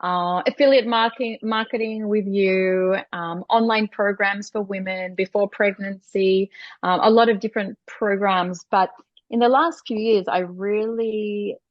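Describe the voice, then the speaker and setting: A woman's voice: medium pitch, steady tone, unhurried, dry recording, dull audio. An Australian woman in her forties, a coach being interviewed, who answers in a casual, hesitant way with many fillers. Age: 40s. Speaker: podcast guest, coach.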